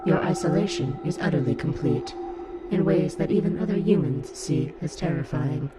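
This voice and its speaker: A performer in an audio play voicing an eerie fungal hive-mind, speaking in a slow, deliberate, menacing and coaxing way. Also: medium pitch, close to an everyday voice. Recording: loud music under the voice. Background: loud music.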